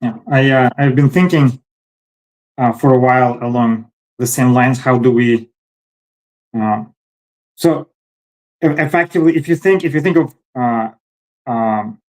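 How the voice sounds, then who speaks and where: A man with a medium-pitched voice hosts a discussion and reasons his way through a point. He pauses often with "uh" and repeats words as he builds his argument. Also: darkish tone, low-quality recording. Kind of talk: conversational discussion.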